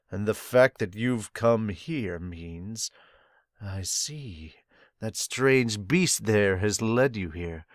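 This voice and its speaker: A man voicing a calm, serious young male swordsman from a manga, measured and quiet. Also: lowish voice, no echo, studio quality, voice pushed down.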